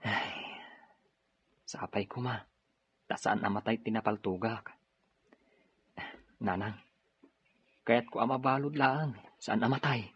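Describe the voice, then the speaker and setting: An actor plays a dutiful, reassuring young Ilocano policeman in a drama. His voice is husky and medium in pitch. The recording sounds slightly dull and lo-fi. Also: steady tone, slow pace, pauses often, a bit bright, exaggerated voice. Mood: earnest. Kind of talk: Ilocano radio-style drama acting.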